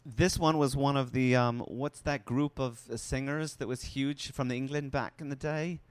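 A man's voice: mid-range voice, fairly even intonation, normal speed, clear, resonant tone, no room sound. A man in his fifties chatting casually as a guest, telling stories in a hesitant, rambling way. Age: 50s. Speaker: guest photographer. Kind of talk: casual chat.

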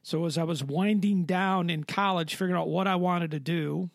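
Gravelly man in his fifties. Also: sits high, fairly even intonation, moderate pace, dry recording, clean studio sound. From Connecticut, USA. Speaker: interview guest. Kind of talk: interview answers.

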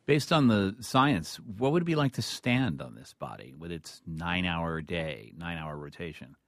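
A man with a low, deep voice hosts a radio interview in a very dry acoustic. He is conversational but deliberate, halting between phrases and leaning on chosen words. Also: sing-song, dry recording.

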